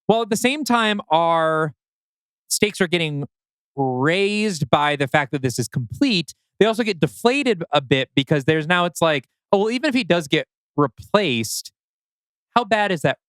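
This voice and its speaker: A man with a fairly high, clean and resonant voice talks through a story like a podcast co-host. He is thoughtful and measured, in a casual analytical style, and the sound is dry and close. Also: lively intonation, a bit bright, clean tone, dry recording.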